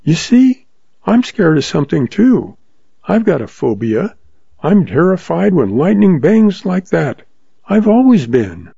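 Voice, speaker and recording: A man voicing a spirited young ranch woman who talks quickly and teasingly, in a dull lo-fi recording. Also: deep voice, big pitch swings, gravelly, dark tone, breathy edge, dry recording, pitched above the performer's own voice, exaggerated voice. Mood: shaken. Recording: dull lo-fi audio.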